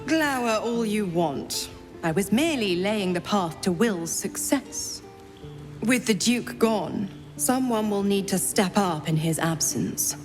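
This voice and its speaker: An actress playing a sly, haughty schemer in a video game, with mocking, theatrical delivery. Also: female voice, mid-range voice, lively intonation, slow pace, a little mellow. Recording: music behind the voice. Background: game music.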